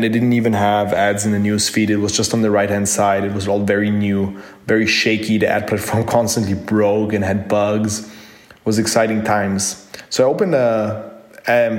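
A man, an online entrepreneur telling his own story in an earnest, enthusiastic way with frequent fillers. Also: on the low side. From Austria. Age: 30s. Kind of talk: personal story told to camera.

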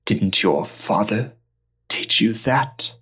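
A woman playing a curt, husky old hitman. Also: extremely low pitch, takes it slowly, full character voice. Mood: cold. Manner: curt, flat, deadpan. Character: cold taciturn retired male hitman.

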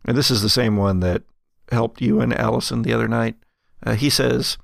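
Game master, male, heavy vocal fry, halting improvised narration, very dry close sound. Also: mid-range voice, fairly even intonation, no room sound.

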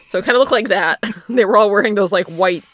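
A woman's voice: medium pitch, fast talker, fairly bright tone, no room sound. A woman co-hosting a casual film chat, talking in an informal, loose way full of filler "like"s. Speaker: podcast co-host.

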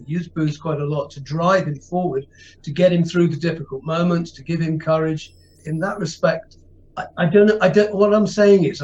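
A man's voice: on the high side, a little mellow. An older man as an interview guest, reminiscing in a discursive, anecdotal way and rephrasing as he goes. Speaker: interview guest with a career in television. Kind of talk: reflective anecdotal conversation. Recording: muffled lo-fi line with faint noise.

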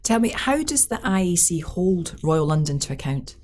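A woman's voice: on the low side, sing-song, normal speed, darkish tone. A woman hosting a podcast interview, reading friendly, upbeat scripted questions. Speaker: podcast host.

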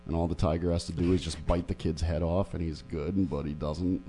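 A man's voice: low voice, level tone, brisk, mellow. A man co-hosting a podcast in casual chat, wry and joking. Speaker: podcast co-host. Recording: faint mains hum.

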